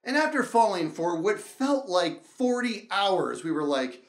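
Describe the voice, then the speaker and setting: A man telling a comic personal story in an excitable, dramatic way, acting out reactions and dialogue as he goes. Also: sits high, a little slow, reverberant. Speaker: storyteller, show host. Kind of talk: animated comedic personal storytelling.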